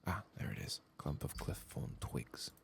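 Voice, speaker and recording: A soft-spoken man murmuring unhurried ASMR commentary over a game, often dropping into a whisper. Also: slight background noise. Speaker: ASMR gaming host.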